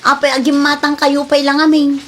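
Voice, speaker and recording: A woman with an Ilocano accent playing a stingy, nagging young wife with a husky voice in an audio comedy drama. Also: sits high, monotone, normal speed, fairly bright tone, slight background noise. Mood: irritated. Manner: complaining, suspicious.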